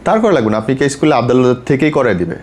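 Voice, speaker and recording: A man's voice: mid-range voice, expressive, fast talker, a little mellow. A man with a Bangladeshi accent hosting a conversational interview, asking questions and giving practical advice. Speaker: podcast host interviewing a guest. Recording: faint background noise.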